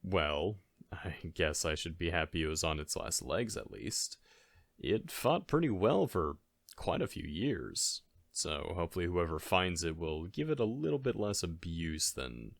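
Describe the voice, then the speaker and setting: Very deep, soft-spoken man acting as a caring best friend. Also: creaky, no echo, studio quality, heavily acted. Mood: sheepish, rueful. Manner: halting, conversational, gently chiding. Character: caring, goofy male best friend, gently mothering. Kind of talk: soft-spoken ASMR audio roleplay.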